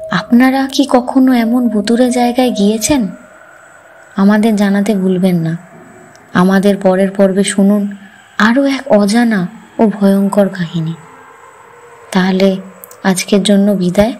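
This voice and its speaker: Slow-paced woman narrating ghost stories. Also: medium pitch, fairly even intonation, darkish tone. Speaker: host and narrator of a ghost story channel. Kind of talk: scripted horror story narration.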